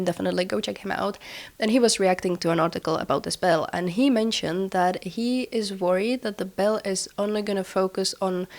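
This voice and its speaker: Woman podcaster talking with a head cold. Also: medium pitch. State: has a cold. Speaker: podcast host. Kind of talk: casual explanatory podcast commentary.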